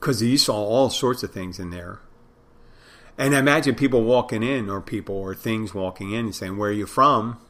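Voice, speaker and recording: A man's voice: fairly low pitch, normal speed, fairly bright tone. Rambling man with a Philadelphia accent. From Philadelphia, United States. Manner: meandering, self-deprecating, trails off mid-thought. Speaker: podcast host. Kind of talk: casual rambling solo podcast talk.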